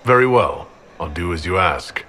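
An actor playing a decisive, blunt heroic leader in a video game. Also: male voice, sits low, lively intonation, moderate pace, pushed and bright. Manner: direct, commanding. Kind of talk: video game dialogue acting.

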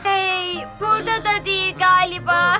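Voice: very high voice, level tone, unhurried. An actor voicing an earnest, friendly childlike little bus in a cartoon, eager and a touch bossy. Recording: prominent music behind the voice.

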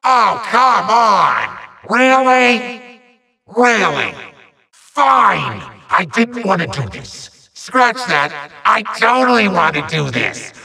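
Small evil sorcerer for a video game: high-pitched, wildly swooping, petulant and gloating, hammy theatrical threats. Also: male voice, very animated, slow, gravelly, sharp tone, recorded from a distance, full character voice. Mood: petulant, outraged.

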